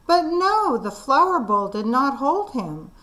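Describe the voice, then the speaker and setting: A woman teacher reading a children's storybook aloud, expressive and deliberate. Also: high-pitched, rough recording. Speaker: kindergarten teacher.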